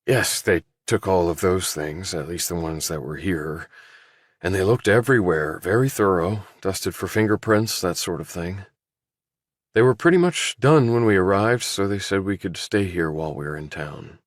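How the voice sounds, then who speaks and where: Deep, gentle grieving father, read by a man. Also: normal speed, no echo, studio quality. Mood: subdued. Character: grieving middle-aged father, a mild minister. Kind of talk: audiobook character dialogue.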